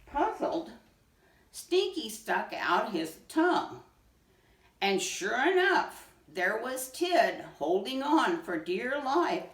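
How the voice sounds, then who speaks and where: Animated woman reading a storybook, roomy sound. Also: on the high side. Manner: pauses to point out pictures. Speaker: children's read-aloud reader. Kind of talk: expressive storybook narration. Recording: roomy echo, distant microphone.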